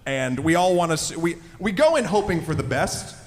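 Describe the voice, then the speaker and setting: A man's voice: fairly high pitch, big pitch swings, normal speed. A man hosting a live panel discussion, talking informally and addressing the audience. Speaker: podcast host. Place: large convention room with live audience. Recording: echoey hall, clearly noisy.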